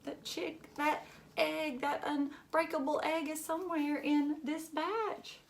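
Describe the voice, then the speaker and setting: A woman reading a children's picture book aloud in an expressive, emphatic way, with asides and questions to young listeners. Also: high voice, slow pace, gravelly, slight background noise.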